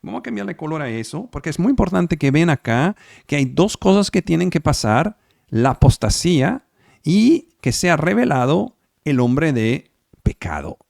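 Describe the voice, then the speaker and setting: A man's voice: fairly high pitch, big pitch swings, moderate pace, creaky, darkish tone, no echo, studio quality. A man preaching and teaching the Bible over a video call, speaking Spanish as a second language with emphatic repeated words and frequent check-ins with his listeners. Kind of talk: online sermon and Bible study. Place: home, online service.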